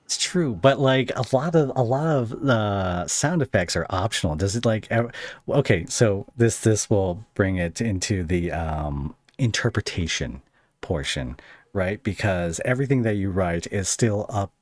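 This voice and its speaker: A man with a low voice hosts a casual interview podcast. He phrases things haltingly, working out each thought as he speaks. The sound is dry, with very little room in it. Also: expressive, no echo. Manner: halting, thinking aloud while phrasing questions. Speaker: podcast host interviewing a guest.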